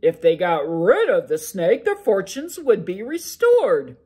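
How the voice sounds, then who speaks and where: Woman playing a loud grumpy ogre husband. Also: medium pitch, huge pitch swings, measured pace, breathy edge, pitched above the performer's own voice, heavily acted. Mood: drowsy. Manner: loud, grumbling, yawning. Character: gruff drowsy male ogre, irritable.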